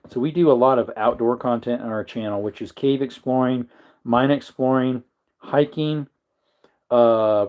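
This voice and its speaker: A man's voice: medium pitch, darkish tone. A man hosting a casual livestream, rambling and joking while greeting viewers in the chat. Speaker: livestream host.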